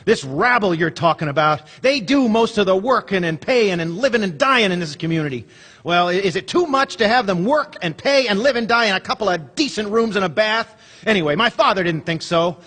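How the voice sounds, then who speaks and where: A man's voice: high voice, animated, a little fast, fairly bright tone. An actor in a live radio drama playing an eager, idealistic young man who talks a lot and flares up when crossed. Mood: indignant. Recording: theater stage with long reverb.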